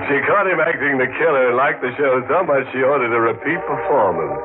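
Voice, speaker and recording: A man's voice: medium pitch, animated, brisk, close to an everyday voice. A man playing a macabre radio mystery host, theatrical and mocking, with gallows humor. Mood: gleeful, mocking. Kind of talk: 1950s radio acting, macabre hosting. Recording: muffled old radio broadcast, music.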